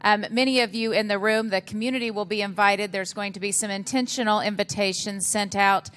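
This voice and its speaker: A woman with a mid-pitched voice hosts a community breakfast. She makes upbeat announcements with frequent ums. She thanks the speakers and wraps up the event. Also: fairly even intonation, brisk, fairly bright tone, clean tone. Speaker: event host. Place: community breakfast meeting room.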